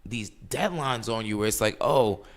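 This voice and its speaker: A man in his late twenties co-hosting a casual podcast chat, animated and swearing freely as he acts out his own thoughts. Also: fairly low pitch, moderate pace. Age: late 20s. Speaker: podcast co-host. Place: New York City.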